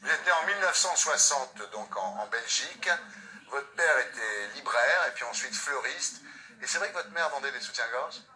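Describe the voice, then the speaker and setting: A man's voice: medium pitch, animated, moderate pace, pushed and bright, poor audio. A man interviewing a guest with probing questions.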